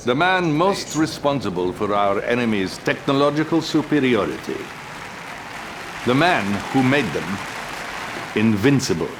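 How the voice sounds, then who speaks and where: An actor playing a scheming, power-hungry Soviet premier with a Russian accent and a theatrical, commanding manner in a game cutscene. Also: male voice, medium pitch, big pitch swings, unhurried, a crowd in the background, background noise. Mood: grave, scheming. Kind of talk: dramatic video game cutscene acting. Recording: music behind the voice.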